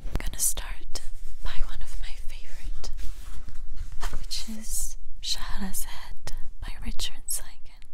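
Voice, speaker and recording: A woman speaking in a hushed, breathy whisper in an intimate, measured ASMR style, as if reading poetry. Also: medium pitch, poor audio. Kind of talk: soft-spoken ASMR poetry reading and chat.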